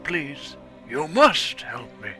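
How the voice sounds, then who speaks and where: Man playing a slow, theatrical noble dragon. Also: fairly high pitch, big pitch swings. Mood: urgent, solemn. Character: noble, pleading male fire dragon guardian, desperate but proud. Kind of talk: dramatic comedic script reading. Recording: loud cinematic music behind.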